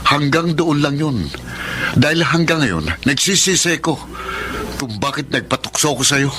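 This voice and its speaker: A man's voice: a little high, unhurried, close to an everyday voice. A man playing a stern, troubled husband in a Filipino radio drama, speaking firmly and indignantly with melodramatic weight. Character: stern, troubled married man resisting a temptress. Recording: noisy radio drama recording.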